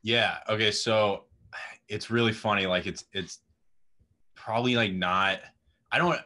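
A young man in his twenties with a raspy voice, chatting casually as a guest musician on a video call, rambling with lots of fillers. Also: lowish voice, a little slow, sharp tone. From Austin, Texas. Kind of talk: casual interview chat. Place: home room with music gear. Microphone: video call webcam.

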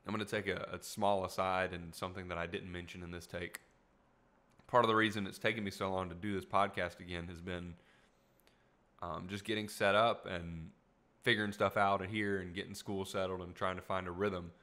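A man's voice: deep voice, little pitch movement, moderate pace, sharp tone. A young man hosting a casual solo podcast in a small room at home, talking in a rambling, reflective way with many fillers, his voice a little tired. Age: young adult. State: voice tiring. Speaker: podcast host. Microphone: studio mic in front of him.

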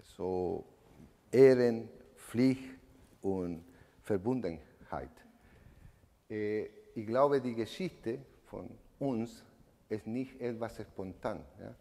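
An evening of remembrance in an echoing hall: at the lectern, a low-voiced man in his sixties, native Spanish speaker with a Chilean accent, haltingly tells his own story. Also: creaky, a little mellow. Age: 60s. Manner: halting, searching for words in non-native speech. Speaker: eyewitness speaker giving a testimony. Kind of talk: personal testimony talk to an audience. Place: indoor event room, standing at a lectern. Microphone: microphone on a stand. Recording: reverberant hall.

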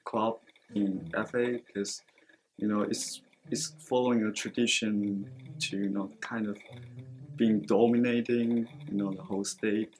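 A man's voice: on the low side, flat delivery, measured pace, poor audio. A young man, a student speaking earnestly in a seated interview, with many fillers. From China. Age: late teens. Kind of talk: interview testimonial. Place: school library with bookshelves, indoors. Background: soft background music.

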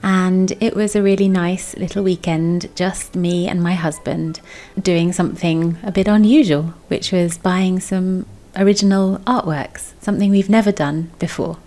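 Creaky low-voiced woman vlogging. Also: fairly even intonation, moderate pace, dark tone. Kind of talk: chatty vlog voice-over. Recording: background music. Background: music.

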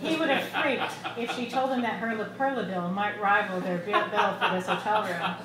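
A woman's voice: mid-range voice, normal speed, crowd noise. Woman reading fiction in an echoey room. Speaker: author reading her short story. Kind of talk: live literary reading of prose fiction. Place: small bar room, live reading. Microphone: microphone on a stand, close. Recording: echoey room, clear background noise.

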